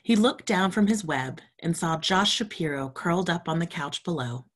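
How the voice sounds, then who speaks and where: A woman's voice: on the low side, lively intonation, moderate pace. A woman storytime reader, reading a children's storybook aloud expressively and talking to young children.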